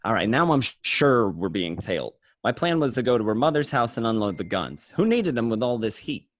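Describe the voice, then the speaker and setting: A man's voice: mid-range voice, sing-song, fairly quick, natural voice. A man playing a paranoid, streetwise gangster narrating his day in a radio play, talking in a coarse, conversational way. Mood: wary, commanding. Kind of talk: first-person crime narration in a radio play.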